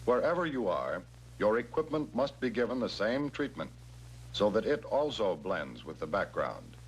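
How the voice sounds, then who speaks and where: An American man giving measured, instructional narration in the scripted style of a 1960s military training film, stressing key words. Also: on the low side, big pitch swings. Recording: noisy 1960s film soundtrack with hum.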